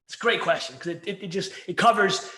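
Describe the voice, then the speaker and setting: A man's voice: high-pitched, fast, sharp tone. A man co-hosting a podcast, chatting casually over beers in a rambling, jokey way that keeps interrupting itself. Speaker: podcast co-host. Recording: roomy echo.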